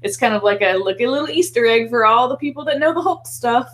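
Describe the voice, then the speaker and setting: A woman's voice: on the high side, lively intonation, fast talker. A woman co-hosting a casual film discussion, talking in an enthusiastic, chatty, informal way. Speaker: podcast co-host.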